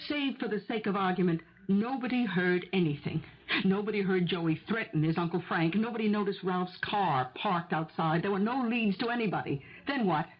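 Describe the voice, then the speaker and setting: An actress in a 1970s radio drama playing a desperate, stubborn middle-aged mother who argues insistently for her accused son, with a husky voice. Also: mid-range voice, moderate pace, natural voice. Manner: insistent, argumentative, pleading. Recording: dull, lo-fi old radio recording.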